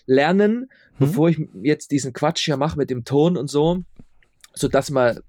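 A man's voice: on the high side, normal speed, a little mellow, no echo, studio quality. A man answering casually as an interview guest, informally repeating words and often checking that he is understood. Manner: informal, repeats words and phrases, seeks confirmation. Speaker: interview guest, audio drama maker.

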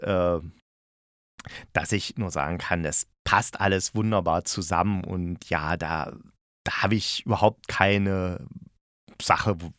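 A man's voice: low voice, no echo, studio quality. A man hosting a casual spoken review, chatting informally and thinking aloud as he goes. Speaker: podcast host reviewing audio dramas.

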